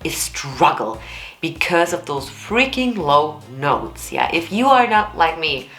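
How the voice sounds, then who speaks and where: A woman with a low alto voice, giving blunt, candid and expressive reaction commentary as a vocal coach. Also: slow, echoey room. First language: German.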